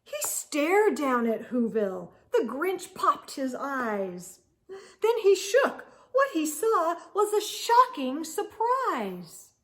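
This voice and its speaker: High-voiced, unhurried woman storyteller. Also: very animated. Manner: dramatic, rhythmic, storyteller emphasis. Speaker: children's storytime host. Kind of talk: expressive read-aloud of a rhyming picture book.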